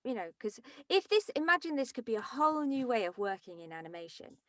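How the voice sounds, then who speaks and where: A woman's voice: a little high, sing-song, quick pace, fairly bright tone, no echo, studio quality. A woman leading a casual group discussion as organiser of an online rehearsal. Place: indoors, video call.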